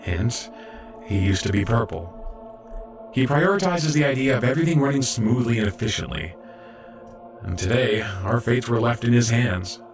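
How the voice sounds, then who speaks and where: An actor playing an earnest, modest young robot apprentice medic in an audio drama, reflective and a little hesitant. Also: male voice, medium pitch, moderate pace, soft background music, natural voice. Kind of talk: audio drama acting and narration.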